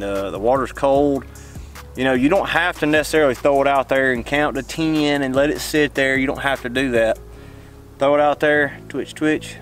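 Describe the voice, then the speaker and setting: A young man with a drawl, casually rambling about bass fishing from his boat on a lake. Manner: drawling, rambling, talks to the fish. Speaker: fishing channel host. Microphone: camera mounted on the boat.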